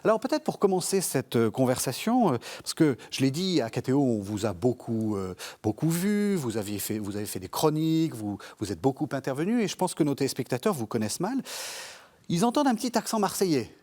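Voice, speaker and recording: A man's voice: medium pitch, sing-song, fairly quick, warm tone. Man hosting a TV interview. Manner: rambling questions with restarts. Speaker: TV host. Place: TV studio.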